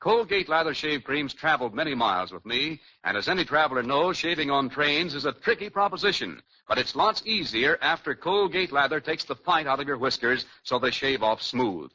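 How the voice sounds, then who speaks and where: A man's voice: mid-range voice, lively intonation, brisk, few pauses, pushed and bright, breathy edge. An American man reading a sponsor message as a 1940s radio announcer. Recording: dull lo-fi 1940s radio recording.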